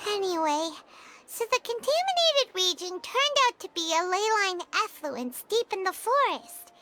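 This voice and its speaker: A tiny floating sprite girl with a piercingly high, squeaky little voice, chatty and excitable. Her lines are game audio with clear background noise behind them. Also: normal speed. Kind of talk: video game voice acting.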